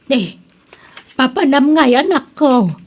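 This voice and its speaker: An actress playing a homely, concerned, questioning woman in an Ilocano radio drama, with an Ilocano accent and a breathy, husky voice. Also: high-pitched, very animated, slow pace, exaggerated voice. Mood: worried, caring.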